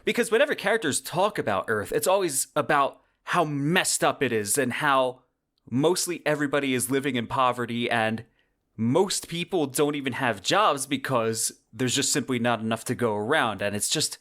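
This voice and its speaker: A man's voice: medium pitch, fairly bright tone. A man hosting a podcast, delivering a semi-scripted commentary monologue in a conversational, wordy way with emphatic phrasing. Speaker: podcast host.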